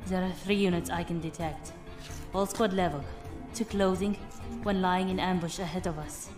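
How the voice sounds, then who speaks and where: An actress playing a proud, cool master fighter among space pirates in an audio drama, measured and terse. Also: female voice, fairly low pitch, steady tone, lightly acted. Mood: coolly confident. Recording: music behind the voice.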